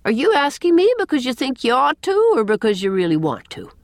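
A brisk village spinster of middle age, full of opinions and gossip, voiced by a woman reading a storybook. Her delivery is folksy and emphatic, with very lively rises and falls. The sound is dry, with no room around it. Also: a little high, dry recording, pitched above the performer's own voice. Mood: brisk, hearty.

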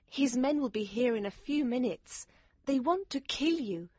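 A man voicing an anxious, lovelorn woman in an audiobook, breathy and nervously urgent. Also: extremely high pitch, expressive, measured pace, no room sound, pitched above the performer's own voice, heavily acted. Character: anxious, pale, lovelorn woman warning of danger. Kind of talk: audiobook character voice.

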